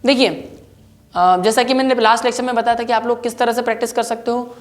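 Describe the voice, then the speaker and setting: A woman's voice: fairly high pitch, steady tone, quick pace. A woman with an Indian accent teaches spoken English as a grammar lesson, drilling repeated example sentences.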